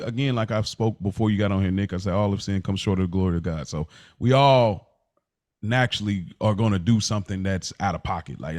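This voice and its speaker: An American man hosting a casual podcast discussion, speaking deliberately as he lays out points and poses questions. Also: lowish voice, a little mellow, no room sound. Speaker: podcast host.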